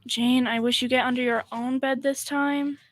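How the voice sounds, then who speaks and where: Girl playing a high-voiced scatterbrained young woman. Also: flat delivery, normal speed, natural voice. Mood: frightened. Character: naive, scatterbrained, easily frightened young woman roommate. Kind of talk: old-time radio comedy acting.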